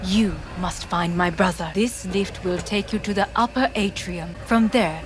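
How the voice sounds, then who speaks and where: An actress with a Japanese accent playing a formal, steely corporate heiress in a game cutscene, measured and restrained. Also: female voice, mid-range voice, close to an everyday voice. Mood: commanding. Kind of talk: video game cutscene acting. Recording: music behind the voice.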